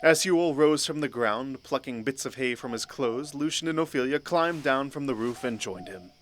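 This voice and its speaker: A man's voice: medium pitch, expressive, normal speed. A man narrating a scripted fantasy story. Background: faint background music.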